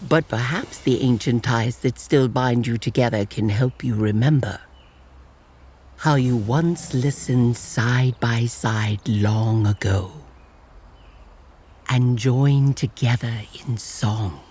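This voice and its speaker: An actor voicing a gentle, wise tanuki elder officiating a wedding in a motion comic, speaking in a measured, reverent storytelling manner with a husky voice. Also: mid-range voice, a little hiss or hum, natural voice. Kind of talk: dramatic motion comic voice acting.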